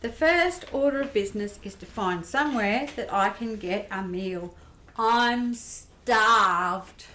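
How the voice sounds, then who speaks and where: A woman's voice: medium pitch, slow pace, pushed and bright, slight background noise. A woman playing a curious, upbeat young space traveller in an amateur radio play. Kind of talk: amateur radio drama acting. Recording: roomy echo.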